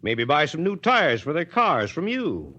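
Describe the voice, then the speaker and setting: An actor playing an easygoing, drily stubborn small-town mayor with a folksy American manner, in 1940s radio drama style. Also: male voice, a little high, huge pitch swings, sharp tone, full character voice. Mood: calm, wry. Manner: folksy, unhurried, drily reasoning. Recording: muffled 1940s radio broadcast recording.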